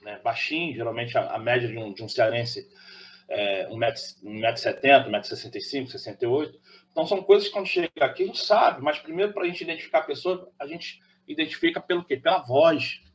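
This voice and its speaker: A man's voice: mid-range voice, fast. A man from Rio de Janeiro with a Carioca accent, delivering an opinionated monologue as a channel host, preachy and full of rhetorical questions. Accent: Carioca Brazilian Portuguese. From Rio de Janeiro, Brazil.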